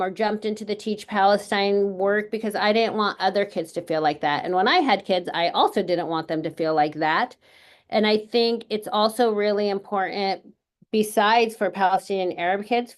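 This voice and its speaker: Woman, middle-aged, educator, from California, earnest, measured, lots of um, mid pitch. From California, United States. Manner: earnest, measured, frequent "um" fillers. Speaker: educator, panel speaker. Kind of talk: panel talk at a book launch.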